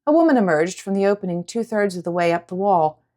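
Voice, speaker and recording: A woman's voice: medium pitch, expressive, quick pace, warm tone. A woman audiobook narrator reading a scripted story in a dramatic style.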